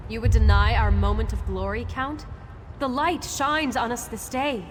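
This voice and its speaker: An actress playing a proud, glory-seeking noble countess in a video game, speaking in a lofty, formal way. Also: female voice, fairly high pitch, steady tone, normal speed, close to an everyday voice. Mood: haughty, exultant. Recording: long reverb, clear background noise.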